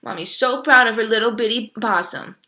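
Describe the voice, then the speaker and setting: A woman's voice: fairly high pitch, a little fast, pushed and bright. A woman voicing a fussy, protective mother possum who dotes on her baby in a storybook. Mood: doting. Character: anxious, doting mother possum.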